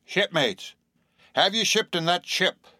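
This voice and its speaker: A male narrator plays a ragged old sailor prophet from a sea novel, pitched high and pushed forward in a bright, forceful voice. He is abrupt and derisive, with a crazed earnestness and nervous stress on his words. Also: lively intonation, slow pace, no echo, clean studio sound, heavily acted. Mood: insistent, mocking. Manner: abrupt, nervous emphasis, cryptic, exclamatory questioning. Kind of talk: audiobook character acting.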